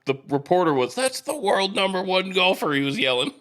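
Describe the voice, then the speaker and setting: A man's voice: high-pitched, sing-song, brisk, fairly bright tone. A man co-hosting a sports podcast, telling stories in casual, colloquial, laid-back chat.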